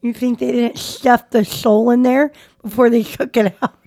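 A woman's voice: fairly high pitch, level tone, normal speed, dark tone, dry recording, studio quality. A woman co-hosting a casual podcast with short, joking remarks. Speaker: podcast co-host. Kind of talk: casual chat.

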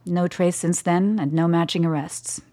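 A woman plays a guarded government doctor in a radio drama. Her voice is low and mellow, and she speaks in a formal, measured briefing manner. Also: fairly even intonation, lightly acted. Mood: businesslike. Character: cool, guarded female government intelligence doctor. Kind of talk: radio play acting.

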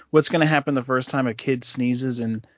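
A woman's voice: a bass voice, measured pace, dry recording, muffled recording. Hesitant woman chatting on a podcast. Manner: hesitant, searching for words, many fillers. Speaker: podcast co-host. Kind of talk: casual chat.

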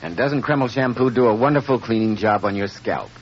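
A man's voice: medium pitch, lively intonation, brisk, husky. A man announcing in polished 1940s radio style as a programme announcer. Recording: muffled old radio broadcast, loud music.

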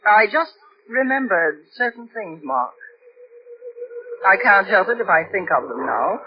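A doubting, fearful wife, pleading with wide pitch swings, for a muffled vintage radio thriller. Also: female voice, very animated, unhurried. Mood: anxious, pleading. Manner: hesitant, pleading. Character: frightened, apologetic wife and mother, torn by doubt. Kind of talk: 1940s radio mystery acting. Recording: dull, hissy old radio recording.

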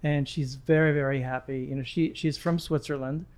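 Guest in casual chat, sixties man, chatty, lots of restarts, a touch higher pitched. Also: steady tone, normal speed, a little mellow, clean tone. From Middle East, Lebanon. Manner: friendly, rambling, with many restarts. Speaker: gym client and guest.

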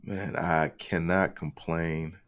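A man co-hosting a casual podcast, easygoing and laid-back with a slow drawl. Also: low-pitched, flat delivery. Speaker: podcast co-host.

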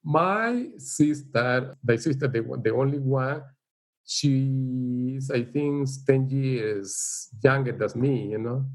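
A middle-aged man from El Salvador with a Salvadoran Spanish accent, speaking English as a second language in a casual interview, halting and unhurried, with frequent fillers. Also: mid-range voice, darkish tone, rough recording. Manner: unhurried, halting, with frequent "you know" and light laughs. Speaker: interviewee, teacher. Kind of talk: casual life-story interview.

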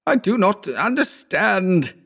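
A man voicing a hysterical, terrified man who gibbers and sobs in a shrill, quavering voice. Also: normal speed. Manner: gibbering, frantic.

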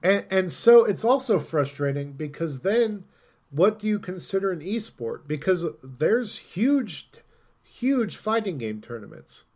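A man's voice: sits high, big pitch swings, measured pace. Casual, opinionated guy podcast host. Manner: opinionated, thinking out loud. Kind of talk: casual gaming podcast chat.

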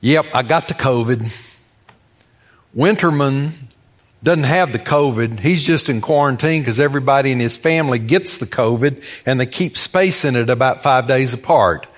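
A man's voice: medium pitch, normal speed. An American man preaching a sermon in a folksy, conversational way, telling stories, repeating phrases for emphasis and asking rhetorical questions.

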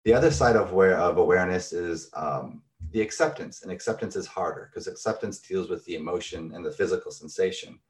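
A man's voice: deep voice, brisk, hardly any pauses. A middle-aged man, a therapist, explaining concepts in a thoughtful, conversational way with everyday examples.

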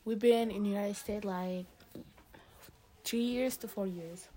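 A teenage girl from Lebanon, a high school student answering interview questions in a casual, hesitant way with many fillers and restarts. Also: steady tone, takes it slowly, warm tone, low-quality recording. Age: teens.

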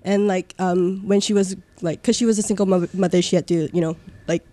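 A woman's voice: fairly low pitch, steady tone, brisk, mellow. A young woman from Singapore, chatting as an interview guest, hesitant with many ums and likes, often giggling. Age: 20s. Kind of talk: casual interview chat.

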